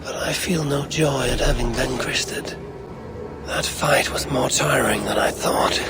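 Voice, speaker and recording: A man voicing a battle-hardened, stoic Viking warrior leader in a video game, measured and resolute. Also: mid-range voice, gravelly. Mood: wary musing. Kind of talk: video game cutscene acting. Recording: music behind the voice.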